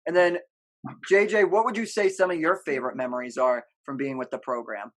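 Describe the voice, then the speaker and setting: Male podcast host, slightly high voice, casual sports interview, encouraging and affirming delivery. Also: fairly even intonation, brisk, a bit bright.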